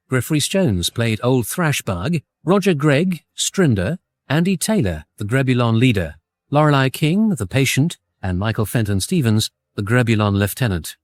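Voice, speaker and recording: A man's voice: mid-range voice, dry recording. A man reading scripted broadcast credits as a radio announcer.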